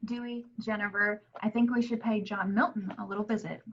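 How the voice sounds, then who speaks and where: A woman's voice: mid-range voice, steady tone, brisk, a little hiss or hum, natural voice. Woman reading as assertive journalist, muffled audio. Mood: urgent, intrigued. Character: assertive investigative female journalist in horror film. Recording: dull home video-call audio.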